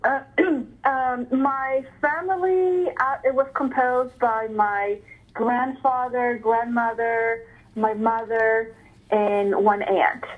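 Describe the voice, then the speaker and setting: A woman from Ecuador answering interview questions, hesitant, with many fillers and frequent throat clearing. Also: a little high, steady tone, slow. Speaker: interviewee, artist. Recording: phone-like narrow sound.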